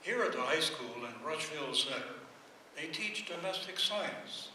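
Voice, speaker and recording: A man playing a genial, folksy announcer and sponsor pitchman in an old-time radio serial, reading scripted lines on stage. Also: animated, unhurried, pushed and bright, full character voice. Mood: folksy narrating. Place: stage of a live radio play performance. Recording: echoey hall, far from mic, clear noise.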